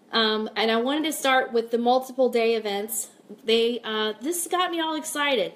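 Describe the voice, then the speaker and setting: A woman hosting a casual live stream, chatting informally and upbeat as she reads out comments and announcements. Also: a little high. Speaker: host of a fan radio live stream.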